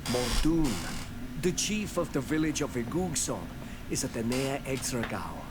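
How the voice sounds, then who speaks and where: A man voicing a proud native island village chief, a measured negotiator in a video game. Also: medium pitch, expressive, natural voice. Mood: grave, diplomatic. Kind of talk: video game dialogue acting. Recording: noisy game capture.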